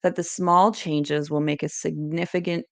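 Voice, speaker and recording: Gravelly-voiced woman presenting. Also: low-pitched, flat delivery, moderate pace, dry recording. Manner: scripted, encouraging, list-like delivery. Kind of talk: educational presentation.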